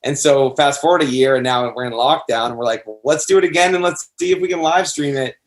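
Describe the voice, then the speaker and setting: A man's voice: mid-range voice, fast. Young American male band member, casual interviewee, loose slangy storyteller, mid-range voice. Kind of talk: casual chat.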